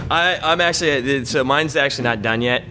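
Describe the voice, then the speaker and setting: A man's voice: mid-range voice, a little fast, bright, forceful tone, slight background noise. A man doing a live reading of a bawdy comic story, stumbling over words and breaking into laughter now and then. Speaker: comedy troupe member reading own story.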